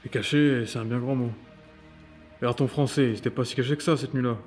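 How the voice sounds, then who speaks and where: A man acts out a teasing, skeptical agency colleague in an audio drama. His middle-pitched voice is casual and breezy, given to joking. Music sits lightly underneath. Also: steady tone, brisk, darkish tone, lightly acted. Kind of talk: French audio fiction dialogue.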